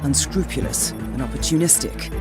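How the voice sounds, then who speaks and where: Woman acting shrewd spy handler lady in a game, low voice, measured n formal, music behind her. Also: close to an everyday voice. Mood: composed, serious. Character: composed, shrewd female spy agency handler. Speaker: intelligence handler. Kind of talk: scripted game cutscene briefing.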